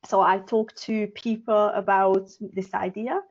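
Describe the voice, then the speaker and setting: A woman's voice: mid-range voice, fairly even intonation, unhurried. A woman from Hungary, a founder and guest, telling her story in an earnest, reflective way with many fillers and restarts, over a video call. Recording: muffled video call audio.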